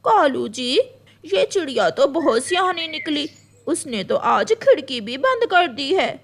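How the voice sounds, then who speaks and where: A woman's voice: high voice, big pitch swings, a little slow, full character voice. A woman voicing a sly, thieving female crow in an exaggerated, theatrical way for a children's cartoon story. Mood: dismayed. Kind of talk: animated children's story dialogue.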